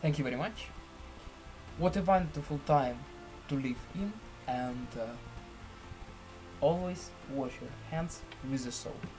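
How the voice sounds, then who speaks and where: A man presenting a screen-share software tutorial in halting, deliberate phrases with formal, elaborate wording. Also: on the high side, music in the background, poor audio.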